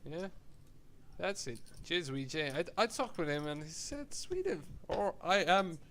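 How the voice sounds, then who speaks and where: A man giving live poker commentary, relaxed and chatty, laughing easily between hands. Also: a little high, a little slow, slight background noise.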